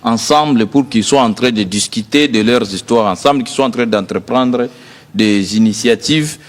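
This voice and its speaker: A man's voice: medium pitch, moderate pace, long reverb tail. A young man from South Kivu, earnestly explaining his student association as a guest. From South Kivu, DR Congo. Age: young adult. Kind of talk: guest interview.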